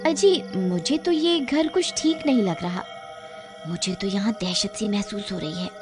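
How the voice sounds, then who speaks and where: A woman's voice: medium pitch, unhurried, music in the background, close to an everyday voice. A woman voicing an anxious, pleading, easily frightened wife in a cartoon story. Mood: uneasy. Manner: pleading, fretful. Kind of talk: cartoon voice acting.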